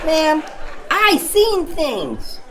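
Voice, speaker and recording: A woman plays a cheeky young male puppet doing stand-up, pleased with his own jokes and exasperated by life. The voice sits fairly high and takes its time, stretching out dramatic exaggerations before dry, deadpan punchlines. There is noticeable noise in the recording. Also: very slow, crowd noise, full character voice. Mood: incredulous, dramatic. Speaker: stand-up comedian character. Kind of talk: stand-up comedy storytelling.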